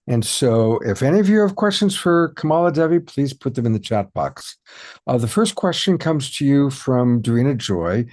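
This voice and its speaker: A man's voice: medium pitch, sing-song, normal speed, darkish tone. A man hosting an online show, reading out questions from the audience. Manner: plain, reads listener questions aloud. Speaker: show host.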